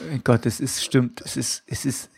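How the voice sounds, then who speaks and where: A man's voice: medium pitch, flat delivery, normal speed, gravelly, darkish tone, breathy edge, no room sound, clean studio sound. A young man co-hosting a casual football podcast, blunt and indignant, swearing freely as he complains. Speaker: podcast co-host.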